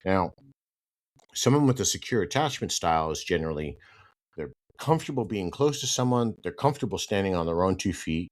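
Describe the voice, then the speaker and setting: A man's voice: low-pitched, animated, a little fast. Congested, nasal man hosting a podcast. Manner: conversational, repeats "right?" often, turns firm and emphatic when ranting. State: congested with hay fever. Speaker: podcast host. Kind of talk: solo podcast monologue.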